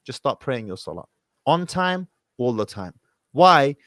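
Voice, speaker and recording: A man's voice: mid-range voice, very animated, a little slow, a bit bright, no echo, clean studio sound. A man hosting a call-in show, giving casual religious advice in an informal, emphatic way peppered with Arabic phrases.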